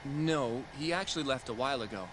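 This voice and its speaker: Male actor as friendly young office colleague, deferential, game dialogue, clear background noise. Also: medium pitch, fairly quick, lightly acted. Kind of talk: video game voice acting, conversational.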